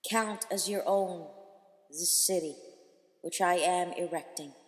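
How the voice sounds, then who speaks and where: Lady doing a regal queen, calm and stately, voice lifted up high, slow and echoey. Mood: gracious. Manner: stately, measured, reassuring. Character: regal gracious queen of a new city. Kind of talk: reading an epic aloud. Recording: echoey, long hall reverb.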